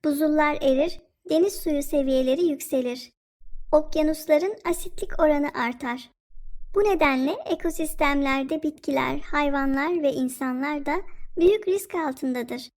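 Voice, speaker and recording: A woman's voice: high-pitched, normal speed, darkish tone. A woman voicing an eager young schoolgirl granddaughter in a scripted educational cartoon, speaking earnestly like a pupil reciting facts. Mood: earnest, informative.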